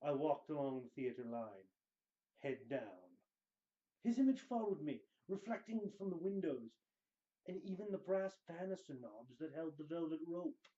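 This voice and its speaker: A man giving a dramatic reading of fiction, with theatrical, deliberate and weighty phrasing. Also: fairly high pitch, animated, dark tone, highs cut off. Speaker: show guest host reading book excerpts.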